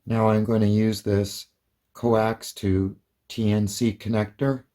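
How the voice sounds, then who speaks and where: A man's voice: fairly low pitch, flat delivery, slow pace, dark tone. A man presenting an informal hands-on demonstration as a hobbyist, explaining things plainly step by step.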